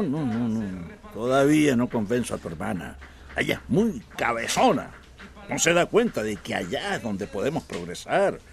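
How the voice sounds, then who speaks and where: An actor playing a hopeful, excitable middle-aged father talking on the phone in a radio drama. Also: medium pitch. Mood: insistent.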